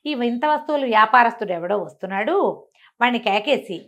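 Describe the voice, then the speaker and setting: ఆంధ్ర యాసలో కథ చెప్పే మహిళ, కాస్త హెచ్చు స్వరం. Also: female voice, a little high, animated, a little fast, fairly bright tone. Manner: conversational, explains with asides and rhetorical questions. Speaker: storyteller. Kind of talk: informal spoken bedtime storytelling for a listener.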